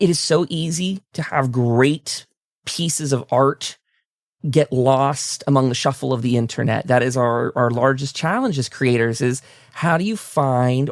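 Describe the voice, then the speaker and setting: A man's voice: a little high, dry recording, clean studio sound. A man hosting a casual interview, speaking in an enthusiastic, complimentary way. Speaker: talk show host interviewing a guest.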